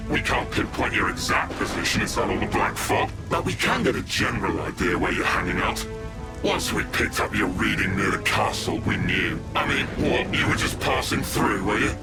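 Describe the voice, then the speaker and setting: A man's voice: on the low side, close to an everyday voice. A British man playing a smug, condescending villain in a video game, taunting his foes in a casual, mocking way. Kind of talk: video game cutscene acting. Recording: game music, rain and thunder behind.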